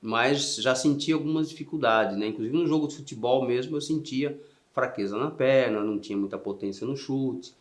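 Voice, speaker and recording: A man in his fifties from São Paulo with a São Paulo accent, answering interview questions in a soft, halting way with frequent "né" tags. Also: mid-range voice. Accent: São Paulo Portuguese. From São Paulo, Brazil. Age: 50s. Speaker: interview guest, Paralympic swimmer.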